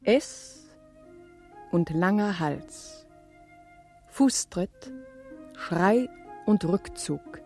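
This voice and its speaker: A woman's voice: on the low side, animated, drawn-out words, long pauses, a little mellow, heavily acted. A woman performing sparse poetic fragments, drawing out single words for a radio play. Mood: poetic, spare. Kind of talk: poetic radio drama recitation. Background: flute music.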